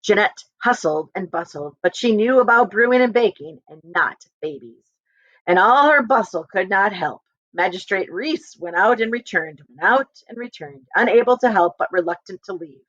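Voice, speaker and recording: A woman's voice: medium pitch, lively intonation, moderate pace, fairly bright tone, dry recording, dull audio. A woman reading a children's novel aloud expressively as a teacher, speaking to students and checking in with them.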